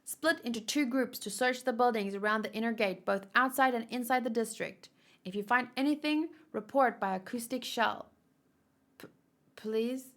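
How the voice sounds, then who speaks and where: A woman's voice: a little high, moderate pace, fairly bright tone. An anxious young soldier from a manga, voiced by a woman, clever but hesitant. He sounds earnest and unsure as a leader. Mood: flustered. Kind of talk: manga read-aloud.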